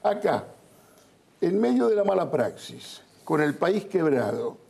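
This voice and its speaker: A man's voice: a little high, very animated, a little slow, gravelly, mellow, husky. A man with an Argentine Rioplatense accent giving blunt, emphatic, colloquial political opinions as an interview guest. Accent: Argentine Rioplatense Spanish.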